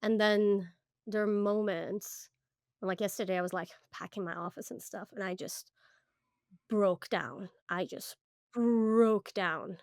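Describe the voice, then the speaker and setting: A young woman from Iran talks in casual podcast chat, candid and halting, with plenty of fillers and restarts. Her voice sits at a middle pitch. The sound is close and dry, with no room echo. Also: steady tone, a little mellow. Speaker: podcast co-host.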